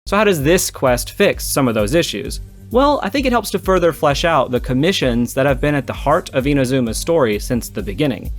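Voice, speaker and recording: A man's voice: mid-range voice, very animated, a little fast, no echo. A man narrating a scripted video essay as a game story analyst, with casual, irreverent, profane asides and a jokey manner. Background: background music.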